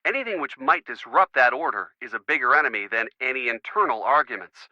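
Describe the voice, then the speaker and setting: An actor playing a chatty, jokey college quarterback boyfriend with an American accent, in casual video game phone dialogue. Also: male voice, mid-range voice, a little fast, no room sound, dull audio. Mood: earnest, excited. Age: young adult. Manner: jokey, animated, slangy.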